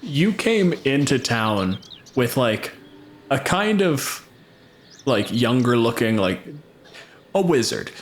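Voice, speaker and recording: A man's voice: mid-range voice, very animated, slow, long pauses. A man working as game master, casually improvising narration for a tabletop game.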